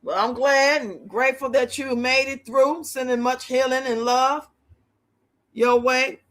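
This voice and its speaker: Woman, late fifties, livestream host: high bright voice, blunt, colloquial, chatty. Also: steady tone, unhurried. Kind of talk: casual livestream chat with viewers.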